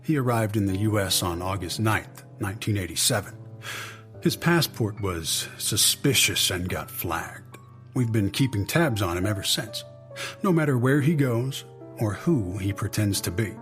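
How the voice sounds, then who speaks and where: A male intelligence agent with a lowish voice. He explains things in a measured, matter-of-fact way, as in an audio drama briefing. Faint music plays underneath. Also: expressive, a bit bright.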